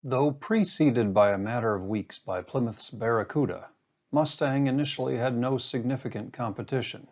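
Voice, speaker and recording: A man giving a scripted documentary narration in an even, monotone delivery, recorded as a voice-over. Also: medium pitch, normal speed, muffled recording. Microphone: home-recorded voice-over.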